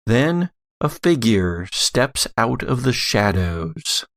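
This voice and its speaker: Gravelly man narrating a dramatic story. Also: mid-range voice, big pitch swings, takes it slowly, a bit bright. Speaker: narrator and game master.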